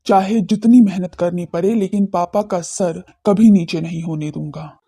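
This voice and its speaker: A man's voice: high-pitched, steady tone, normal speed, gravelly, warm tone, husky, full character voice. A man playing an earnest, familiar young man in an audio drama. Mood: brooding, determined.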